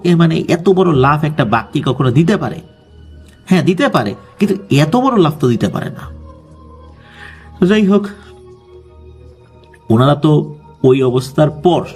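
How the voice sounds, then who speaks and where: A man narrates a ghost story informally, in a conversational, suspenseful way with small asides. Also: on the high side. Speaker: storyteller, horror story host. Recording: music bed under the voice. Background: background music.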